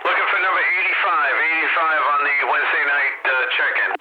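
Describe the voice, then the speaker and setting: A man's voice: fairly high pitch, brisk. A man running a CB radio check-in net, chatting informally and repeatedly calling out for people to check in. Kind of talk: live CB radio net calls and casual banter. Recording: dull CB radio audio with hiss.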